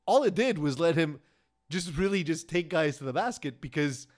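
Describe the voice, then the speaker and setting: A man co-hosting a casual sports talk show, rambling and laughing as he goes, often trailing off quietly. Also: a little high, animated, moderate pace. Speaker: podcast co-host.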